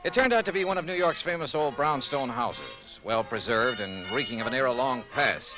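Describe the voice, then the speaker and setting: An actor playing a brisk, wry, hard-boiled insurance investigator with an American accent, in 1950s radio detective style. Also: male voice, medium pitch, animated, over music, natural voice. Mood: crisp narrating. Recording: muffled vintage radio recording.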